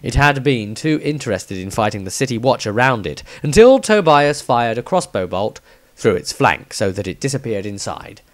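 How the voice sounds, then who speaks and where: A man reading his own fantasy story as scripted narration, with dramatic, deliberate phrasing. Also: medium pitch, sing-song.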